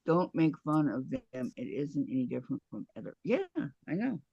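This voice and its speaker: A man co-hosting a quilting show, casually reading viewer comments aloud and answering in short, relaxed remarks. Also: a little high, moderate pace, gravelly, dull audio, a little hiss or hum.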